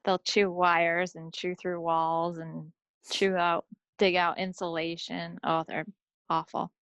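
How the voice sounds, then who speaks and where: Female podcast co-host, lowish and creaky, folksy casual chat, dry close sound. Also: level tone, slow pace, fairly bright tone, no echo.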